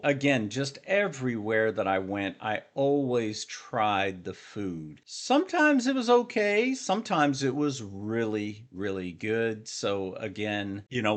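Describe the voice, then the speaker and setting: An adult man hosts a reaction video from home, chatting into a desk mic. His voice sits in a middle range, and he rambles warmly through his comments, circling back often with "again". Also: mid-range voice. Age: adult military veteran. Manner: chatty, keeps repeating "again".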